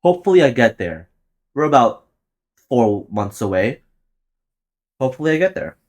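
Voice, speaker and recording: A young man near twenty hosts his own podcast alone, rambling through his plans in a loose, profane, offhand way. His voice sits in an ordinary middle pitch, and he often laughs at his own jokes. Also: big pitch swings, moderate pace. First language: Tagalog. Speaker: podcast host. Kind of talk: casual solo podcast monologue.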